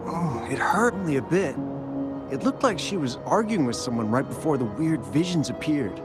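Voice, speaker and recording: A man's voice: fairly high pitch, animated, normal speed, over loud music, close to an everyday voice. A man playing a shaken, chatty bystander in a video game, speaking colloquially and expressively. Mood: shaken, relieved. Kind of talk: video game character dialogue.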